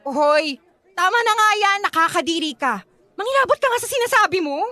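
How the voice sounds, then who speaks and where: An actress playing a brash, teasing young best friend in comedic radio drama banter, sassy and full of exclamations. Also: female voice, very high voice, expressive, a little fast, pushed and bright, no echo, full character voice. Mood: mock disgust.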